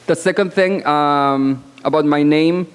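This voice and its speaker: A woman born abroad speaks as a panelist at a conference, with a loud voice and a frank, assertive, deliberate delivery broken by pauses, into a microphone in a banquet hall. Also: sits low, clean tone. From born outside Canada. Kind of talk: conference speech. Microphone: microphone at podium. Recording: long hall reverb.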